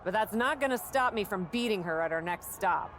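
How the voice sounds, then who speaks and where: A woman's voice: medium pitch, expressive, brisk, a bit bright, lightly acted. Woman acting a wry, confident pro wrestler, noisy background. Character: confident, determined female pro wrestler, indie veteran. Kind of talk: video game cutscene dialogue.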